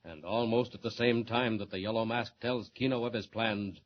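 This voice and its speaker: A man's voice: fairly low pitch, steady tone, normal speed. A man narrating a 1940s radio serial as its announcer, in dramatic scripted style. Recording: muffled old radio recording, faint hiss.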